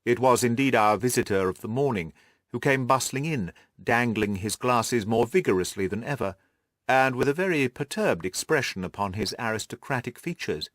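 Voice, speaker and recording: A man's voice: mid-range voice, animated, moderate pace, a bit bright, no room sound, clean studio sound. A man reading scripted literary narration as an audiobook narrator.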